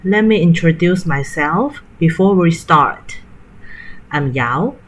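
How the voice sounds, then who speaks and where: A woman whose first language is Mandarin Chinese, teaching in a careful, clearly spaced scripted tutorial voice. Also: on the low side, expressive, takes it slowly.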